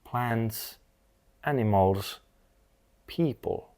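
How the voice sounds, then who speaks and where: A man leading a scripted guided meditation in a soothing, measured manner, speaking in short phrases with long pauses. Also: mid-range voice, warm tone, clean tone.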